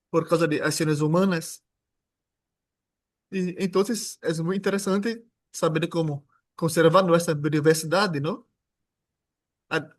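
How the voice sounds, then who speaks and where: A man from Brazil, a native Portuguese speaker with a Brazilian Portuguese accent, giving an academic seminar in an informal, joking way with frequent restarts. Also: a little high. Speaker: guest lecturer.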